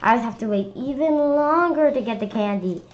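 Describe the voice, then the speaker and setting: A woman reading a children's book aloud slowly, stumbling and restarting, with lively voices for the characters. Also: fairly high pitch. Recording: slightly dull lo-fi sound.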